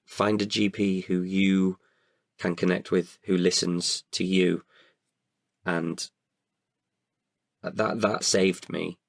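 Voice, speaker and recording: A man giving candid, halting answers as a podcast guest, often repeating words as he goes. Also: low voice, little pitch movement, gravelly, dry recording, clean studio sound. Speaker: podcast guest, writer and performer.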